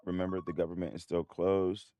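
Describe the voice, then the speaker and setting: A man hosting a trading livestream in a casual, rambling way, his voice a bit hoarse. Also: low-pitched, level tone, brisk, dull audio, soft background music. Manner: rambling, many restarts and fillers. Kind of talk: casual live-stream talk.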